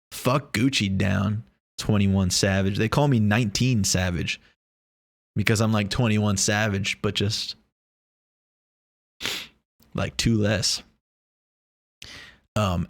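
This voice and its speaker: A man's voice: fairly low pitch, a bit bright, no echo. A man, rambling and joking in a casual vlog monologue, boastful and swearing freely. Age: 20s. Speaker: vlogger. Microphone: lav or shotgun mic.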